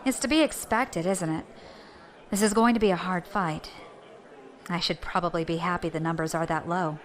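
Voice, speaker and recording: A woman's voice: fairly low pitch, steady tone, normal speed, lightly acted. A woman playing a shrewd clan leader in a science fiction audio drama, with dry, casual authority. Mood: wry, guarded. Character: shrewd, wry, confident female clan leader.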